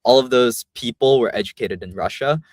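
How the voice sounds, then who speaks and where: A young man who grew up in Vietnam and speaks with an American accent, chatting easily as an interview guest and filling pauses with "um" and "like". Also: medium pitch, no room sound, studio quality. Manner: relaxed, many fillers like "um" and "like". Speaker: guest, company founder. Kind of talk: casual interview chat.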